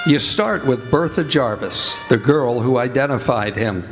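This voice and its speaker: A man's voice: mid-range voice, animated, unhurried. A man playing a brooding newspaperman, narrating his investigation in a scripted radio drama. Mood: reflective, suspenseful. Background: loud brass music score.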